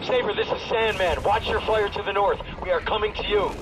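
A man's voice: high voice, a little fast, recorded from a distance, noisy background, close to an everyday voice. A man playing a special forces squad leader, urgent and commanding, giving clipped military orders in a video game.

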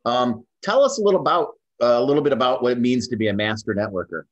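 A middle-aged man hosting an interview over a video call from a room full of bookshelves, talking in a friendly, slow way with many fillers. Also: mid-range voice, expressive. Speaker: host. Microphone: webcam on video call. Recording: dull lo-fi video call audio.

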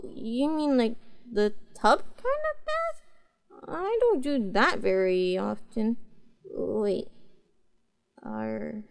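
High-pitched, earnest cute cat-girl. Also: vocal fry, exaggerated voice. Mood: reluctant, shy. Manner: hesitant, childlike, halting phrasing. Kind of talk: roleplay audio drama, speaking to the listener.

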